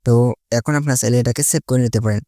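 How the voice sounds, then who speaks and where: A man's voice: medium pitch, very fast, dark tone, no echo, studio quality. A man giving a casual step-by-step tutorial, mixing in English words and leaning on repeated linking phrases. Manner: repetitive connective phrases, mixes English words into Bengali. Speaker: tutorial host.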